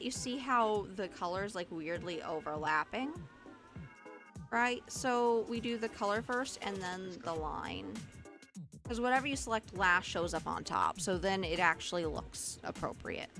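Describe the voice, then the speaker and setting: A woman's voice: mid-range voice, fairly bright tone. A woman with a mixed Southern and Midwestern accent, chatting casually as she walks through a livestream tutorial, often restarting her sentences. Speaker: Live2D rigging streamer.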